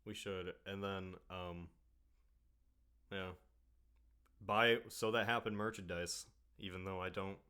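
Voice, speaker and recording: A man with a fairly low voice hosts a loose, casual podcast conversation. He drawls through his sentences and often lets them trail off into quiet mumbles. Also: fairly even intonation, slow pace, pauses often, clean tone. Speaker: podcast host.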